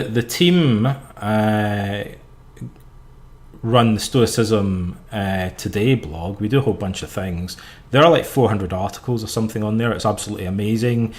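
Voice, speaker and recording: A man who is an author and psychotherapist, giving a casual, rambling livestream talk full of "like" and "uh" and greeting viewers warmly. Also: on the low side. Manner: rambling and conversational, with frequent "like" and "uh"; friendly and enthusiastic. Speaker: author and psychotherapist presenting his course. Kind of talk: casual livestream talk reading audience chat.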